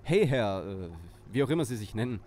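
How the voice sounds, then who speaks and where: A man's voice: fairly low pitch, animated, a little fast, darkish tone, a little hiss or hum, close to an everyday voice. A man playing a self-assured, pompous teenage boy who leads a detective gang in an audio drama. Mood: confident.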